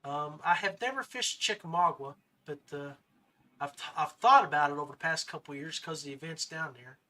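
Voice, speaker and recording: A man with a fairly high voice hosts a relaxed fishing-style podcast conversation. He talks in a halting way, doubling back and restarting phrases as he chats with his guest. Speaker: podcast host. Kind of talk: casual chat interview.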